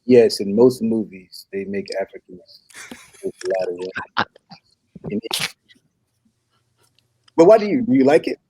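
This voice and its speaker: A man reading the part of an earnest young African prince who poses as a humble goat herder, speaking haltingly in a comedy table read. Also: on the low side, sing-song, mellow, slight background noise, rough recording. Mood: hesitant, modest. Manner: hesitant, halting delivery with repeated words.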